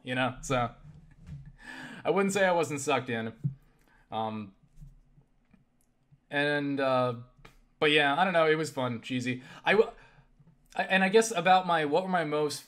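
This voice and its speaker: Bright, forceful young-sounding man rambling casually. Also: fairly high pitch. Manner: halting, sweary, animated. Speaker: video creator answering viewer questions. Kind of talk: casual rambling game chat.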